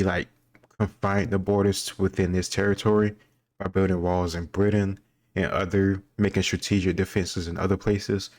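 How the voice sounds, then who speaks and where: A man giving casual, off-the-cuff commentary as he answers quiz questions, wandering into explanatory asides. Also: deep voice.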